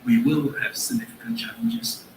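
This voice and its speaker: A man's voice: a little high, very animated, a little fast. A man debating emphatically in parliament, using rhetorical questions. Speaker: government member of parliament. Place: parliament chamber. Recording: echoey chamber with faint noise.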